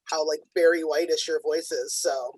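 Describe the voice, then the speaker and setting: A comedian judging a stand-up competition, chatty and upbeat, with a loud, abrasive voice. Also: medium pitch, steady tone, moderate pace, husky, dry recording.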